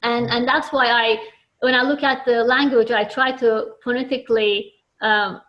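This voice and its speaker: A woman's voice: fairly high pitch, fairly even intonation, normal speed. A woman from Turkey with a Turkish accent, earnestly explaining her work as a children's book author in an interview, often restarting her sentences. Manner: earnest, thoughtful, with frequent restarts. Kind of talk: interview answers. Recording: dull lo-fi audio.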